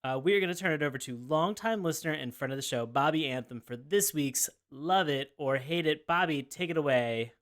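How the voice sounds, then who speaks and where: A man's voice: fairly high pitch, brisk, few pauses, fairly bright tone. A man hosting a podcast in a casual, teasing, bantering way. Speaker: podcast host.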